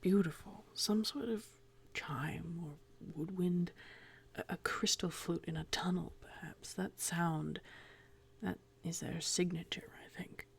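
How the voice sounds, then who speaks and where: A soft-spoken, reverent man voiced by a woman in a tabletop roleplay. The voice is breathy and nearly whispered, with a heavy fry. He halts mid-thought as he searches for words. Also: on the low side, fairly even intonation, exaggerated voice. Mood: hesitant, awed. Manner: hushed, halting, pausing mid-thought.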